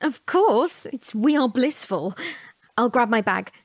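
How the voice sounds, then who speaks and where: A woman's voice: fairly high pitch, expressive, slow pace, dry recording, full character voice. A woman playing an affectionate, down-to-earth wife in a radio drama, with casual, teasing, natural speech. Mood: teasing, excited.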